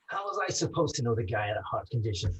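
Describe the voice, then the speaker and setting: A man's voice: medium pitch, sing-song, quick pace, a bit bright, low-quality recording. A man playing a condemned, lovestruck small-time crook with slangy tough-guy talk in a noir radio play. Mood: protesting. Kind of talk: 1940s noir radio acting, live community theatre.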